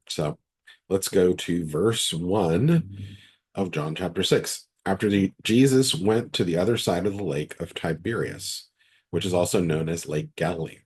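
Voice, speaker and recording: Hoarse, deep-voiced pastor with a cold. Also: male voice, darkish tone. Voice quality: raspy, very deep voice. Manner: conversational, restarts sentences often. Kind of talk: online midweek Bible study, reading scripture and teaching.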